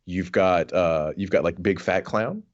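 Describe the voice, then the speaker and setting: Very low-voiced man chatting, slightly muffled. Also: a little slow. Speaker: podcast co-host. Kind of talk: casual comedic chat.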